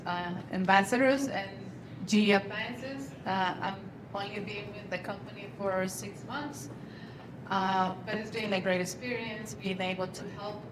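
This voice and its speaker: A woman from Colombia, introducing herself to students on a panel in earnest, halting phrasing. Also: mid-range voice. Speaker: company ambassador on a panel. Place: high school room. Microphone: handheld microphone. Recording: clear background noise in a school room.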